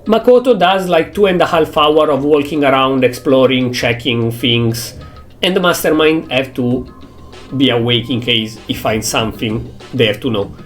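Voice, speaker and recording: A young man with an Italian accent talks through his theories while playing, working things out slowly in English that isn't his first language. His voice sits at a mid pitch, with faint game music behind him. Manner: thinking aloud, theorizing, halting non-native English. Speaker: streamer. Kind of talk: casual gameplay commentary.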